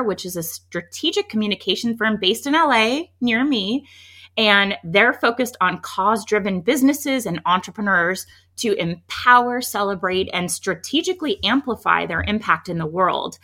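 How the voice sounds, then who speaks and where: A woman's voice: mid-range voice, bright, forceful tone. A woman hosting a podcast in a chatty, effusive, emphatic way, with a slightly scratchy voice from being under the weather. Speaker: podcast host. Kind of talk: upbeat conversational podcast intro and interview.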